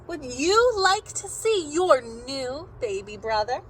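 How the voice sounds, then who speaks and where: Storybook mom, read by a woman: high-pitched, lively, cheerful and coaxing, drawn-out phrasing. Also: slight background noise, exaggerated voice, rough recording. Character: cheerful, coaxing storybook mother chiding her daughter.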